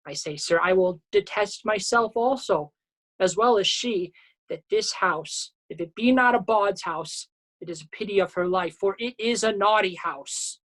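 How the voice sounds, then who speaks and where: A woman's voice: medium pitch, a bit bright. Woman playing a bumbling, self-important male constable. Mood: earnest, flustered. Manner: self-important, halting malapropisms. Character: bumbling earnest male constable who muddles his words. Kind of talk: Shakespearean staged reading.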